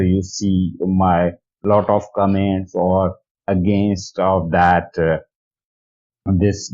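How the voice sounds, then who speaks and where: A man with a Pakistani accent giving a personal testimony in halting, repetitive English, searching for words. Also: low-pitched, darkish tone. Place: recorded voicemail message. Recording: dull lo-fi voice message.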